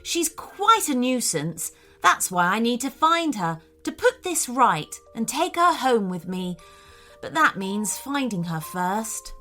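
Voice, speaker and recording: A dignified, gentle Snow Queen from a children's storybook , voiced by a woman narrator, measured and courteous in her speech. Her voice is bright and unhurried, with music playing underneath. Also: fairly high pitch, big pitch swings, heavily acted. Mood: indignant, proper.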